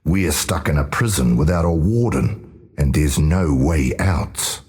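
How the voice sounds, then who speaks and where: A man's voice: extremely low pitch, lively intonation, unhurried, a little mellow. A man playing a bitter, restless dead tribesman in a fantasy game, sardonic and agitated.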